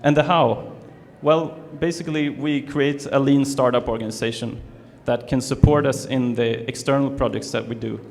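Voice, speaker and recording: Young man from Sweden, gravelly voice, hesitant presenter, long hall reverb. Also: mid-range voice, fairly even intonation, moderate pace, few pauses, darkish tone. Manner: hesitant, many fillers and restarts. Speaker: student presenter. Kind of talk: presentation to an audience. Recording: reverberant hall, faint ambience.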